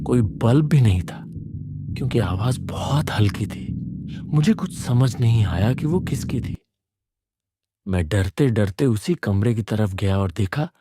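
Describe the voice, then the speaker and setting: A man narrating a scripted first-person horror story in a suspenseful, deliberate manner. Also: on the low side, dark tone, no room sound, clean studio sound.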